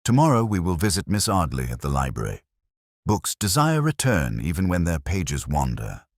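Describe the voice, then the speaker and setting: A man playing a mild, thoughtful police inspector in a mystery story, speaking in a measured, cryptic and formal way. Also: deep voice, very animated, no room sound, clean studio sound. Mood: quietly resolute.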